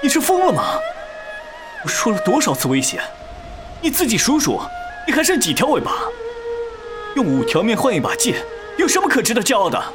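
A man's voice: very high voice, huge pitch swings, brisk, pauses often, heavily acted. An actor playing a stern but caring male immortal in a costume fantasy drama, with a protective, scolding manner. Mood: angry, worried. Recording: music under the dialogue.

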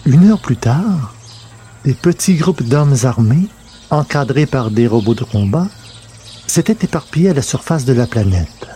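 A man's voice: mid-range voice, expressive, a little slow, mellow, slight background noise. A man narrating a science fiction serial from a script, as a storyteller.

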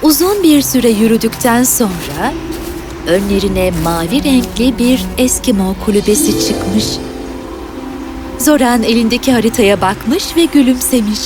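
A woman's voice: a little high, animated, measured pace. A woman narrating a scripted fairy tale for children as a storyteller. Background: music.

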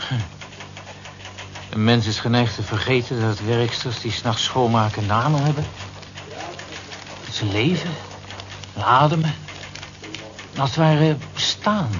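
Actor as a thoughtful office clerk, noisy muffled recording. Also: male voice, medium pitch, close to an everyday voice. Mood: reflective, indignant. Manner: thoughtful, reflective, moralising. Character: considerate, tidy, principled male office clerk. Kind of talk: vintage radio play acting. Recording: dull, noisy old radio play recording.

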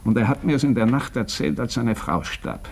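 An actor playing a candid, worldly doctor in a radio drama, speaking in a confiding, insistent way with rhetorical questions. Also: male voice, medium pitch, sing-song, moderate pace, darkish tone, husky. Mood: grave, earnest.

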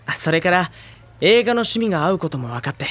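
Soft, reflective schoolboy narrator. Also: mid-range voice, big pitch swings, fairly quick. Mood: light, polite. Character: gentle, reflective teenage schoolboy narrating his club life. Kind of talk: audio drama narration and dialogue.

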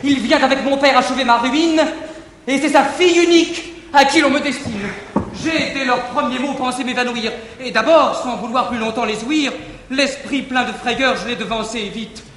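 A man's voice: very high voice, normal speed, fairly bright tone, slight background noise. A man playing an anxious young lover urgently pleading for help in stage verse, in a reverberant 1959 theatre recording.

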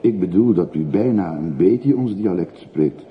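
Very deep-voiced, chatty railway porter. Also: male voice, expressive, measured pace. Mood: obliging. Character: talkative, obliging older railway porter, easygoing local man. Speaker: actor in a radio play. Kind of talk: radio drama acting. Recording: muffled narrowband radio recording with hiss.

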